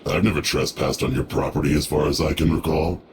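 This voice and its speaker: A man's voice: very deep voice, fairly even intonation, a little fast, heavy background noise. A man voicing a secretive, wary debt collector in a video game, curt and businesslike. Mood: guarded.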